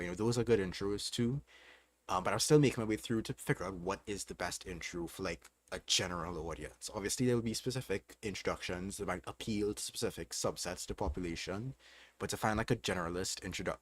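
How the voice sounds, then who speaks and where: A young man with a Trinidadian accent, hosting a casual livestream and answering chat questions while thinking aloud. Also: sits low. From Trinidad and Tobago. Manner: relaxed, thinking aloud with fillers. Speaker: livestream host.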